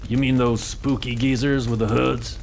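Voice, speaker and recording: An actor playing a gruff, blunt male traveler who talks in terse, folksy phrases. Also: mid-range voice, level tone, moderate pace, a little mellow, slight background noise, lightly acted. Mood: dismissive. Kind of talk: audio drama acting.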